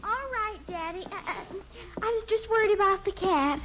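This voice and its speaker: A squeaky, anxious little girl. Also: normal speed. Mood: plaintive. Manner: plaintive, childlike. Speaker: actor in a family sitcom. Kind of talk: 1950s radio sitcom acting. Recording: muffled, very noisy old radio recording.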